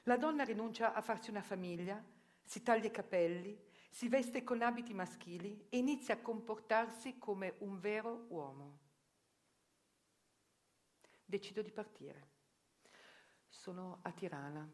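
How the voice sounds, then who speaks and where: A woman narrating a theatre reportage in a measured, reflective storytelling manner. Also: medium pitch.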